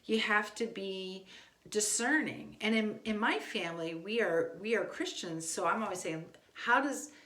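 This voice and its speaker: A woman's voice: mid-range voice, lively intonation, unhurried, a bit bright. A woman giving casual, conversational instructional advice as a homeschooling parent. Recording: echoey room.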